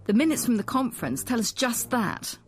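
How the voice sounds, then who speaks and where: A woman presenting a documentary in measured, articulate scripted narration. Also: fairly high pitch, sing-song, faint music behind.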